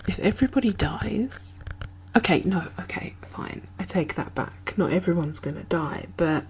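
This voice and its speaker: A woman playing an anxious, caring zombie apocalypse survivor in a soft-spoken, half-whispered ASMR roleplay, hesitant and musing, with breathy sighs. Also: on the low side, a little hiss or hum, exaggerated voice, rough recording.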